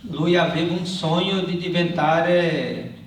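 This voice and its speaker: A man's voice: a little high, slow. A man, a priest interpreting live for a congregation, hesitant and searching for words, in a church. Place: large reverberant church. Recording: echoey church, distant mic, faint noise.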